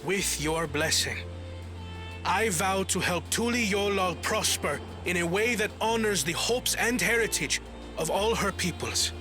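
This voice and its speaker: A man playing a solemn, scholarly young prince in a video game cutscene, humbled and dutiful, with formal, measured oratory. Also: high-pitched, animated, music in the background. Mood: resolute.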